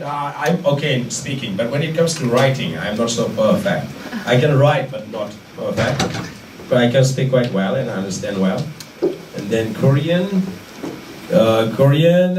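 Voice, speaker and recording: A man with an Indian accent and Manipuri as his first language gives an informal talk, haltingly searching for words and repeating phrases. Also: mid-range voice, a little mellow. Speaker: guest lecturer. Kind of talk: informal public talk with audience questions. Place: indoor lecture room. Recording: echoey room, distant mic, faint noise.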